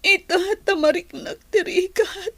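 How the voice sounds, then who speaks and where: A woman's voice: very high-pitched, unhurried, fairly bright tone, exaggerated voice. An actress playing a distressed, ailing young woman in a radio drama, plaintive and tearful, with a Filipino accent. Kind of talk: Ilocano radio drama acting.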